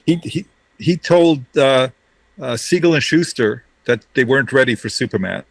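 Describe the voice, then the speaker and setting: A man's voice: medium pitch, animated, moderate pace, creaky, no room sound. Brash middle-aged man telling stories. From Romania, raised in Brooklyn. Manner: brash, joking storyteller. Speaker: interview guest, ad creative director. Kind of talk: casual storytelling.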